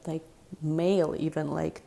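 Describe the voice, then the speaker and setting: A woman giving a casual tarot reading in a deep, chesty voice, rambling and reflective with many fillers. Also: flat delivery, a little slow, creaky, dark tone. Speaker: tarot reader.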